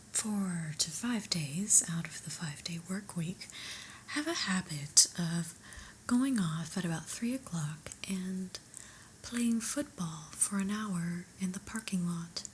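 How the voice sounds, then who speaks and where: A woman playing a stern, unimpressed new office boss in an ASMR roleplay, speaking in a soft breathy whisper with a deliberate, dry, officious manner. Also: fairly low pitch, lively intonation, a little hiss or hum, low-quality recording. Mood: exasperated.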